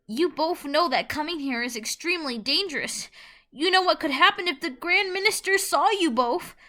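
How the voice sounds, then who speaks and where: A woman voicing a panicky male otherworldly deity who gives loud, emphatic, scolding warnings in a fan audio drama. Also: high voice, lively intonation, moderate pace, voice pushed up. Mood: panicked.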